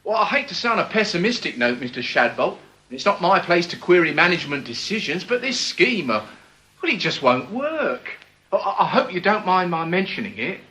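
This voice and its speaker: Timid, high-pitched, stammering worrier. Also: male voice, big pitch swings, moderate pace, sharp tone, full character voice. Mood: nervous, apologetic. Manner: hesitant, stammering, deferential. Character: timid, apologetic, pessimistic male salesman in a gang. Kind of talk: radio drama acting.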